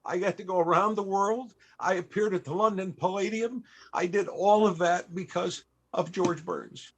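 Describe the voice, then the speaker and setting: A man hosting a panel, telling a personal story in a halting, reflective and emotional way. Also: sits high, steady tone, a little hiss or hum. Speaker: panel host and entertainer. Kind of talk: storytelling at a live interview panel.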